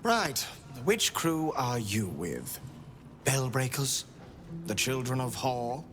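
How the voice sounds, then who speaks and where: Taunting underworld enforcer, slow sly delivery, lively pitch, some noise behind. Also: male voice, music in the background. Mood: brusque, dismissive. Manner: sardonic, taunting, streetwise. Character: gruff, sardonic underworld gang enforcer. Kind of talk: dramatic game dialogue. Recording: background noise and ambience.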